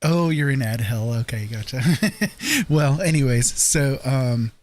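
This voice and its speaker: A man's voice: mid-range voice, unhurried. A man hosting a late-night livestream from his rural home, chatting casually with viewers, rambling with many fillers and frequent laughs. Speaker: livestream host.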